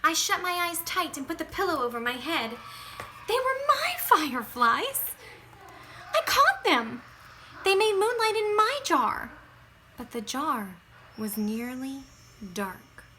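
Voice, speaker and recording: Bright, high-voiced woman reading a children's story. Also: slight background noise. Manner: dramatic, drawn-out emphasis with hushed drops and bursts. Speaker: teacher reading a picture book aloud. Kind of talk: expressive children's read-aloud narration.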